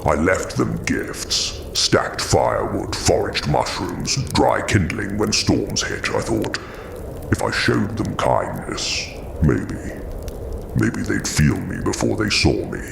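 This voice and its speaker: A haunted giant creature for an audio drama, super low and slow, gentle but weary, noisy background. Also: male voice, very animated, creaky, heavily acted. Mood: sorrowful. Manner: slow, weighted, halting delivery.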